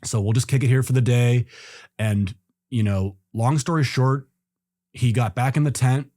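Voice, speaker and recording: A man's voice: medium pitch, moderate pace, warm tone, no room sound. Laid-back guy rambling through a story on a podcast, lots of ums and restarts, dry close sound. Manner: easygoing, rambling with fillers and restarts. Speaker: podcast guest, mountaineer. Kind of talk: casual storytelling in an interview.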